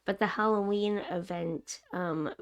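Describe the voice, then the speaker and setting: A woman hosting a gaming video, narrating casually and chattily in a slightly rough, raw voice as she recovers from a cough. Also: medium pitch, steady tone, measured pace. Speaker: host of a gaming video series. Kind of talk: casual gameplay narration voice-over.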